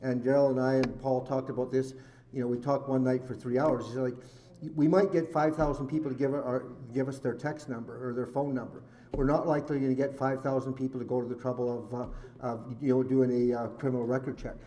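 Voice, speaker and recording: A man's voice: mid-range voice, level tone, brisk, warm tone. A man chairing a community meeting, talking earnestly and at length. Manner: earnest, talkative, rambling.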